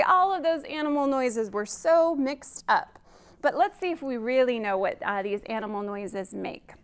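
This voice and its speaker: A woman hosting a children's storytime, reading aloud in a deliberate, singsong way and putting questions to young listeners. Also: sits high, smooth. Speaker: children's librarian, storytime host.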